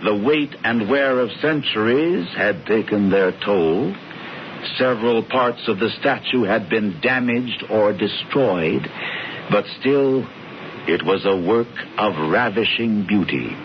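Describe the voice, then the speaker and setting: An actor playing a brisk, smugly amused man in a radio drama, teasing and declaiming grandly. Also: fairly low pitch. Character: brisk, theatrical American man bossing his brother, smugly amused. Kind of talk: 1970s radio drama acting. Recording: dull, noisy old radio broadcast.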